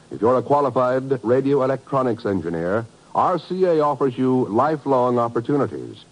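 A man's voice: mid-range voice, darkish tone, rough recording. A man announcing in a polished, emphatic 1950s radio style, reading scripted sponsor messages.

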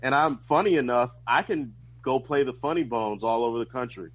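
Comedian guest, male, American, mid-pitched voice, casual conversation, slightly muffled lo-fi recording. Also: brisk. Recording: dull, lo-fi remote-sounding audio.

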